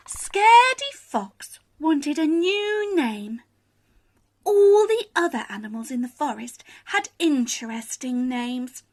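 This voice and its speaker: Female children's storyteller: high voice, very unhurried, expressive, dramatic pauses. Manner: animated, dramatic pauses and emphasis. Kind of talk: expressive bedtime story reading.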